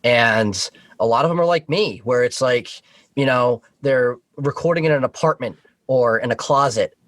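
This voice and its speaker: A man chatting casually as a podcast guest, explaining things in a halting, discursive way with restarts and asides. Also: mid-range voice, dry recording. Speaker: podcast guest, audio drama creator. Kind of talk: casual interview chat.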